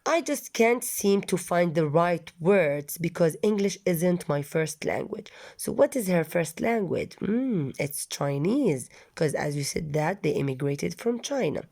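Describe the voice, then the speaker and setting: Woman English teacher with a low, warm voice, reading a story aloud and patiently glossing its vocabulary for students. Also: expressive, measured pace, gravelly, hardly any pauses. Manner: patient, restates words with synonyms, explains vocabulary.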